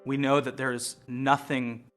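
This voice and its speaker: An American man, a lawyer and street photographer, speaking in an earnest, measured, reflective way in a documentary interview. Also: mid-range voice, flat delivery. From United States. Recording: music bed playing behind voice.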